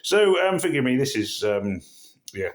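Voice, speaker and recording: A hoarse, croaky man with a cold, giving rambling, weary commentary on a mobile game he is playing. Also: mid-range voice, very animated, unhurried. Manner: rambling, weary, self-deprecating. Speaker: game channel host. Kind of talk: casual gameplay commentary.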